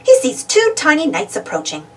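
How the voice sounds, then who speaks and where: A woman reading a children's storybook aloud as an animated narrator. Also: high voice, normal speed. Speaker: storybook reader. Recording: distant mic, music behind.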